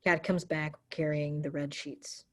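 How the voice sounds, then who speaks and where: A woman's voice: deep voice, flat delivery, unhurried. A woman giving scripted narration, reading stage directions for a play reading.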